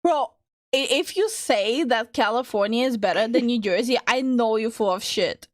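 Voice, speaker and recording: A woman's voice: on the high side, normal speed, no echo. Woman streamer from Minnesota, playful and profane, close dry mic. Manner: playful, teasing, swears freely. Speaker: streamer co-host. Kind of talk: casual stream banter.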